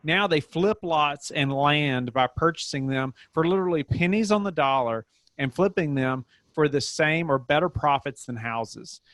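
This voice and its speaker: Host: man with a slightly raised pitch, rambling delivery, dry recording. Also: moderate pace, a bit bright, dry recording. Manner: rambling, many fillers and restarts. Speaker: podcast host. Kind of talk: casual podcast interview.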